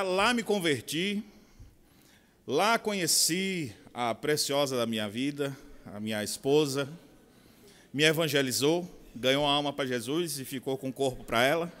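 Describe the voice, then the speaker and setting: A middle-aged Brazilian pastor with a Bahian accent preaches at a conference. His voice sits a touch high for a man, and he moves between soft asides and loud, drawn-out emphasis. The sound rings with the long reverb of a large hall. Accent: Bahian Portuguese. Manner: expressive, swinging from quiet asides to loud slow emphasis. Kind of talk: sermon.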